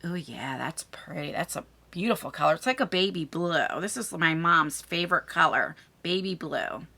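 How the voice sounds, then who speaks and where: Animated, bright-voiced woman chatting. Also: lowish voice, moderate pace, vocal fry. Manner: effusive, talks to viewers and to the items. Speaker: beauty vlogger. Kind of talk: casual chatty product unboxing.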